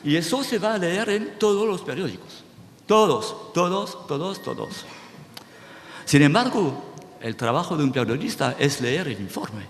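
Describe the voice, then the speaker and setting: A man's voice: a little high, measured pace. Guy giving a talk, keeps checking "¿sí?", echoey big room. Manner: animated, restarts words, checks with "¿sí?". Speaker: speaker at a conference. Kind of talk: lecture-style talk to an audience. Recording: reverberant hall, faint noise.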